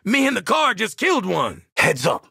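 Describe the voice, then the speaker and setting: A tough video game mercenary sniper, with a forceful, gravelly voice that sits fairly high and swings widely in pitch. He talks with cocky, streetwise swagger and wry, slangy profanity. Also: wildly swooping pitch, moderate pace, no echo, clean studio sound, heavily acted. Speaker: game character voice actor. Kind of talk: short video game combat and banter lines.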